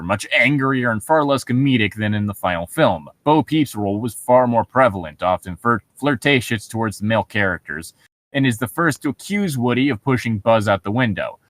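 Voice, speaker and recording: A man co-hosting, reading internet horror stories aloud with casual commentary in a halting, self-correcting manner. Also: medium pitch, fairly bright tone, dry recording.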